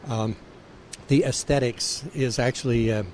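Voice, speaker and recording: A male radio host interviewing a guest, speaking in a measured way with frequent pauses. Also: medium pitch, fairly even intonation, darkish tone, slight background noise.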